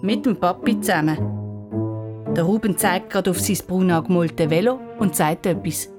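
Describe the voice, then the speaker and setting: A woman's voice: on the low side, moderate pace. A woman narrator reading a scripted children's story. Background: music.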